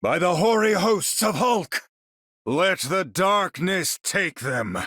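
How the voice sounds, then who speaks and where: An actor playing a proud, battle-ready sorcerer hero in a video game, speaking in a grandiose, formal, theatrical manner. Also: male voice, high voice, expressive, slow pace, fairly bright tone, no echo, studio quality, exaggerated voice. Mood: commanding, urgent. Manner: grandiose, formal, incantatory delivery. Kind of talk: video game character voice lines.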